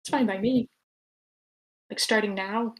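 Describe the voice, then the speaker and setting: A woman's voice: mid-range voice, fairly even intonation, measured pace. Casual woman moderating an online meeting. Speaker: host moderating an online script table read. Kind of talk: casual meeting moderation, reading out chat comments. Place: online live meeting room.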